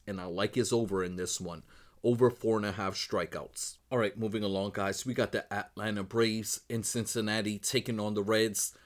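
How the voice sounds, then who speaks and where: A man who is losing his voice, running quickly through his sports betting picks as host, in a brisk set routine. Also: lowish voice. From Northeast United States. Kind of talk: rapid betting picks rundown.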